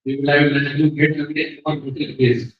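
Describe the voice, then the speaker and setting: A middle-aged man with an Indian accent gives an informal, reminiscing speech at a function, halting and rambling, often breaking off his sentences. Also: fairly high pitch, little pitch movement. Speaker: guest speaker at a book release function. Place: indoor function hall with audience. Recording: very echoey hall, distant muffled microphone.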